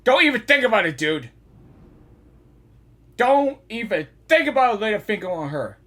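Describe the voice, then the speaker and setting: Male gaming reactor, casual and excitable, forceful raspy voice, very animated delivery. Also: sits high, moderate pace. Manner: excitable, repeats phrases, blurts at the screen.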